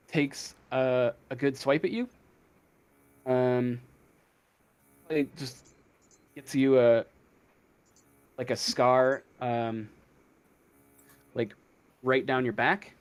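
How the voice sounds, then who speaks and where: An unhurried man acts as game master in a tabletop role-playing game. He improvises the narration haltingly, thinking aloud at a slow pace in a mid-pitched voice. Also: steady tone, smooth.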